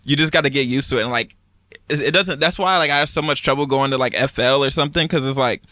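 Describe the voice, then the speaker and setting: A young man talks in a bright voice, recorded close and dry with no room sound. He explains things in a loose, rambling way, full of "like" and restarts. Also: medium pitch, fast, no room sound. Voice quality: raspy when rapping. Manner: rambling, filler-heavy explaining. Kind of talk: casual chat.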